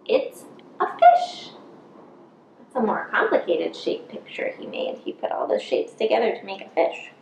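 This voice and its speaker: A woman's voice: mid-range voice, lively intonation, gravelly, slight background noise. A woman in her thirties reads a picture book aloud to young children, explaining simply and stressing key words. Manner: slow, deliberate, emphasizing key words. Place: small plain indoor room. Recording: roomy indoor echo.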